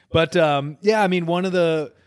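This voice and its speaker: A man's voice: fairly high pitch, measured pace, no echo, clean studio sound. A man in his forties hosting a podcast, talking in an earnest, rambling way with easy laughs as he interviews an old friend. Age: 40s. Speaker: podcast host. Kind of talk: casual conversational interview.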